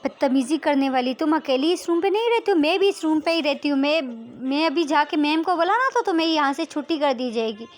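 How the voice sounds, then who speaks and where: A woman's voice: sits high, normal speed, lightly acted. A woman voicing a young female student in a told story, insistent and repetitive, a daughter who can be feisty. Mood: indignant. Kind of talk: amateur acted dialogue in a narrated story.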